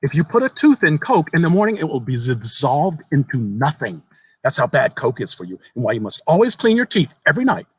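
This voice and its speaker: A man voicing a precocious, solemn little boy who explains things slowly and deliberately in a dramatic horror story reading. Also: on the high side, very animated, husky, dry recording, voice pushed up. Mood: matter-of-fact, insistent.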